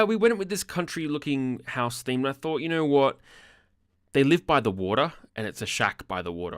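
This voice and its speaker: A young man with a croaky, gravelly voice from a sore throat, rambling casually with lots of ums and laughs over a game build. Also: deep voice, a bit bright, no echo, studio quality. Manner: rambling, self-interrupting, frequent ums and laughs. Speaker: gaming YouTuber.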